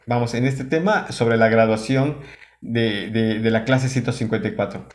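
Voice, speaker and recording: A man giving spontaneous, critical commentary as a reaction host, speaking in a halting, wordy way. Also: medium pitch. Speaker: commentator reacting to a religious broadcast.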